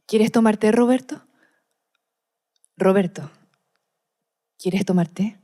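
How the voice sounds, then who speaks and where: A woman playing a frightened, defiant young wife in a radio play, measured and haunted. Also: medium pitch, mellow, lightly acted. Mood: restless. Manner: measured, dramatic, haunted delivery. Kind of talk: Spanish-language radio play, diary monologue.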